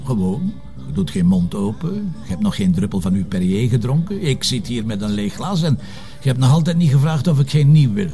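A man's voice: mid-range voice, sing-song, fairly quick, warm tone, faint music behind, close to an everyday voice. A man acting a grumbling, sarcastic middle-aged police commissioner in a TV crime drama, with a colloquial, teasing Flemish way of talking. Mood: teasing, prodding.

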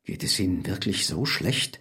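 A man's voice: low voice, lively intonation, moderate pace, bright, forceful tone, breathy edge, exaggerated voice. An actor playing a proud, well-to-do businessman in an audio drama, guarded, dignified and politely curt. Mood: wary, reluctant.

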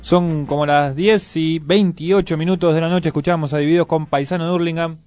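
A man's voice: a little high, steady tone, brisk, darkish tone, faint music behind. A man with a Buenos Aires Argentine accent hosting a casual radio show. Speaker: radio host.

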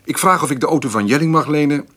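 An actor in a radio play playing a patient, dutiful grown son who speaks soothingly and a little evasively. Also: male voice, on the high side, fast talker, a bit bright. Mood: evasive, placating.

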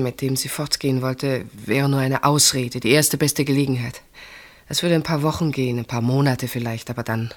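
Earnest woman confiding in halting phrases. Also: rumbling low. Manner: deliberate, halting, confiding, with weighted pauses. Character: earnest older woman, sister pleading for a marriage. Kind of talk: German radio play dialogue.

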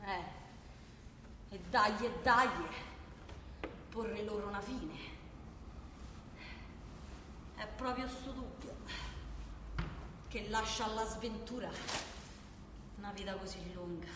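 A woman's voice: medium pitch, slow pace, pauses often, natural voice. Actress doing a fiery roman woman protester, insistent theatrical ranting, echoey and noisy recording. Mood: weary, sardonic. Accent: Roman Italian. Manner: declamatory, insistent, with emphatic repetition. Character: defiant, impassioned woman protester ranting on a train. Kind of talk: theatrical monologue in dialect. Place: large reverberant room, far from microphone. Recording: distant, echoey hall with background noise.

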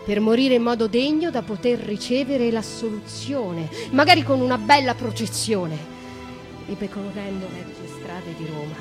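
A woman's voice: a little high, expressive, normal speed, over music, lightly acted. An actress playing a young noblewoman confessing a killing. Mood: intense. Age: young adult. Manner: intense, shifts from hushed to impassioned. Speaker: actress in a radio play. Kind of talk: dramatic radio monologue.

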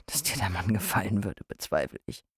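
An actress in a radio drama playing a sceptical, teasing village woman with a husky, gravelly voice. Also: very deep voice, sing-song, brisk, full character voice. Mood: sardonic.

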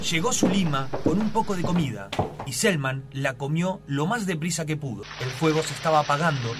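A man's voice: a little high, animated, a little fast, very noisy. A man narrating a scripted radio drama as storyteller. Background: loud music.

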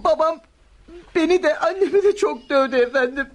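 A woman's voice: high-pitched, normal speed, close to an everyday voice. A woman playing a meek, earnest young boy in a radio play, speaking politely and plaintively. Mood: hurt. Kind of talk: radio theatre acting.

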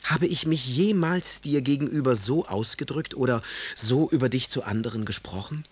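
An actor playing a proud, aggrieved young poet husband who pleads in eloquent, theatrical phrases in a radio drama. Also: male voice, medium pitch, moderate pace, slightly rough, close to an everyday voice. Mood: reproachful. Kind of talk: German radio drama, letters read in character.

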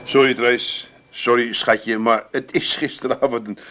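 An actor playing a flustered young Amsterdam husband in a radio play, stammering and apologetic. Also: male voice, mid-range voice, steady tone, measured pace, a bit bright, soft background music, lightly acted.

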